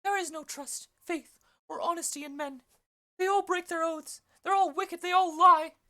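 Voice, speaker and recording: A woman voicing an old female nurse with a shrill, wailing voice in a play reading. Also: moderate pace, bright, forceful tone.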